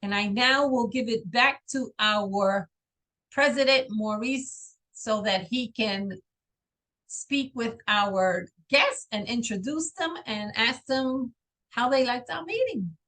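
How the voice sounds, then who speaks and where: Female meeting timer, bright tone, slightly high, brisk readout. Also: poor audio. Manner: brisk, reads off figures. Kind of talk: reading a timer's report.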